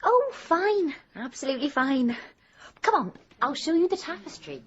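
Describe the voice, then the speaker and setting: An actress playing an anxious, placating village wife in a radio drama, apologetic and brightly keeping up appearances. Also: female voice, high voice, very animated, normal speed, lo-fi sound, a little hiss or hum. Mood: apologetic, brittle.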